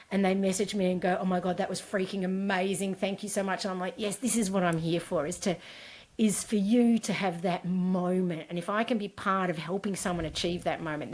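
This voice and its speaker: A woman endurance coach from Scotland talks casually on a podcast, looping through fillers and restarting her sentences. Her pitch sits in an ordinary middle range. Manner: chatty, many fillers and restarts. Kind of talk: casual interview chat.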